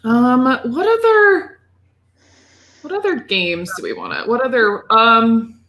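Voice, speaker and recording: Female gaming podcast co-host: bright voice, casual, enthusiastic, rambling delivery. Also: fairly high pitch, very animated, takes it slowly.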